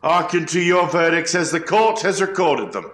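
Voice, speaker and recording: Man as a high-pitched, ceremonial colonial court clerk. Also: lively intonation, moderate pace, fairly bright tone. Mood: formal. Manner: formal, ritual, declamatory. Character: formal eighteenth-century court clerk taking jury verdicts. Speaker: actor in a historical trial reenactment. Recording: echoey room.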